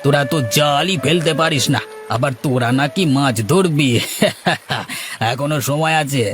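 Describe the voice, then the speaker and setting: A man's voice: fairly high pitch, a little slow, lightly acted. A man voicing a mocking, scornful village uncle in a cartoon, full of taunts. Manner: jeering, taunting. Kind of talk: Bengali moral-tale cartoon dubbing. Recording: loud music under the voice.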